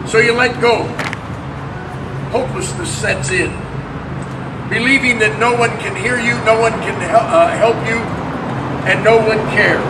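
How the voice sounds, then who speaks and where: A man giving a halting dramatic reading as a hopeless, exhausted prisoner. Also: sits high. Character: hopeless, exhausted prisoner sinking under solitary confinement. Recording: echoey, noisy room from far off.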